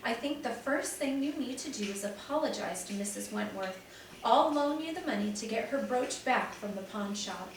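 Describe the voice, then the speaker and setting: A woman voicing a kind, sensible landlady who speaks calmly and firmly. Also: fairly high pitch, normal speed, slight background noise. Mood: reassuring. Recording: echoey room, mic at a distance.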